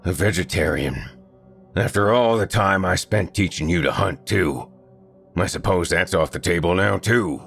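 A man voicing a stubborn, loud middle-aged country father who grumbles and blusters. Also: low-pitched, moderate pace, vocal fry, soft background music, voice pushed up. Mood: rueful.

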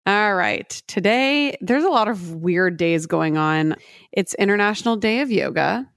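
A woman hosting a true crime podcast in a chatty, upbeat way, her voice hoarse after a weekend of karaoke. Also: medium pitch, expressive, measured pace, fairly bright tone, no echo, clean studio sound. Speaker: podcast host.

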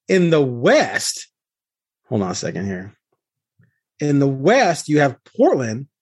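A man's voice: fairly high pitch, no echo. An American man, a sports reporter hosting a podcast, giving blunt, emphatic opinions in conversation and repeating phrases for weight.

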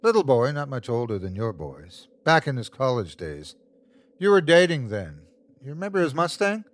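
A man's voice: mid-range voice, sing-song, no room sound, natural voice. A man voicing a sadistic, taunting creature in a horror story, savoring every cruel word in a mocking, gloating way. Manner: gloating, drawn-out mocking delivery. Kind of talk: horror audiobook character voice.

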